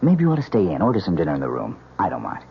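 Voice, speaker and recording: Low-voiced breezy young husband. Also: male voice, wildly swooping pitch, rapid-fire, gravelly, slightly rough, exaggerated voice. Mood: easygoing. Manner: teasing, casual, slightly stammering. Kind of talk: old-time radio mystery acting. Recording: dull old radio recording, faint hiss.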